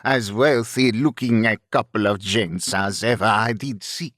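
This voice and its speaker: Man voicing a grating, taunting young French highwayman. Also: measured pace, fairly bright tone, no echo, clean studio sound. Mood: menacing. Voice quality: grating, artificially deepened voice. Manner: mocking, taunting. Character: young masked French highwayman, mocking and brazen.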